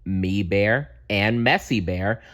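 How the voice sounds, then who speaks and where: A man narrating a children's story aloud with expressive, deliberate phrasing. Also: lowish voice. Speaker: storybook reader.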